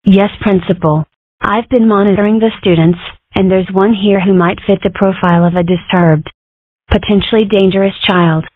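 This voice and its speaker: A woman voicing a courteous, reassuring school psychiatrist in a comic strip dub, formal and measured. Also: medium pitch, steady tone, no echo, close to an everyday voice. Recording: muffled, phone-like narrow sound.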